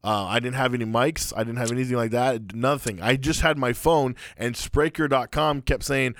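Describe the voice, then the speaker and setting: A man in his thirties tells a long story on a podcast, animated and quick to act out the people he quotes. His voice sits at an ordinary middle pitch, and the sound is close and dry. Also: dry recording. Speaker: podcast co-host. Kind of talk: casual storytelling chat.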